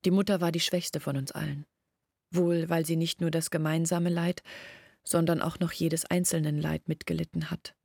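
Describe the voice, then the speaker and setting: An actress playing a sorrowful young village woman in a radio play, speaking in a hushed, deliberate, brooding way. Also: low-pitched, level tone, gravelly, dry recording, clean studio sound. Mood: melancholic. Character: sorrowful young village woman recounting her family's disgrace. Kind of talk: radio play monologue, intimate recounting.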